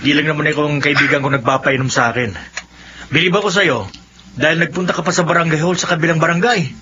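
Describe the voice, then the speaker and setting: An actor with a Filipino accent playing an excitable man in a radio horror drama. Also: a little high, fast talker. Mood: jovial, admiring. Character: excitable man, terrified victim and drinking buddy. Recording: muffled, noisy radio drama audio.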